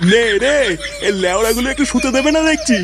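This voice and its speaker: A man's voice: very high-pitched, quick pace, very noisy. A man voicing a cranky, foul-mouthed grandfather who scolds and grumbles in an amateur comedy cartoon. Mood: weary grumbling.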